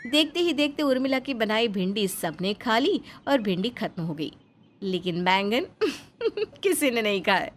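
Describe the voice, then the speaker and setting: Woman narrator telling a scripted story, voice a bit on the high side. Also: sing-song, a little slow, a little hiss or hum.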